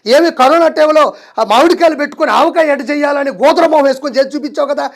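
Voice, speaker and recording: A man's voice: very high voice, fairly even intonation, fast. A man delivering mocking political commentary in an accusatory, colloquial manner.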